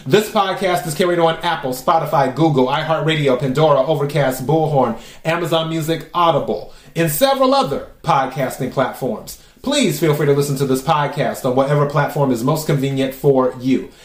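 A man with a raspy voice hosting a podcast, giving a casual, chatty tarot reading and musing aloud with drawn-out pauses. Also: on the high side. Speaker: tarot reader and podcast host. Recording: roomy echo.

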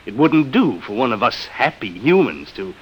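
Man acting an animated, exasperated, sardonic husband. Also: medium pitch, normal speed, highs cut off, a little hiss or hum, natural voice. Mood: bitterly sardonic. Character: exasperated, embittered husband, father and businessman. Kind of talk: old-time radio drama acting.

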